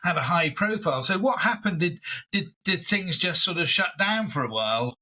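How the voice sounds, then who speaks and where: A male interviewer works his way through long questions, hesitating and repeating himself as he searches for words. He sounds admiring of his guest. His voice sits slightly high and bright. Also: lively intonation, normal speed. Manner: hesitant, filler-heavy, admiring. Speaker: interviewer, host.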